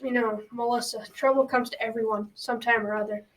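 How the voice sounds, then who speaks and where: A woman's voice: a little high, steady tone, lightly acted. A young girl playing a kindly, folksy old grandfather in a school radio drama. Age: child or teen. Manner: folksy, measured advice-giving. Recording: slightly distant school recording.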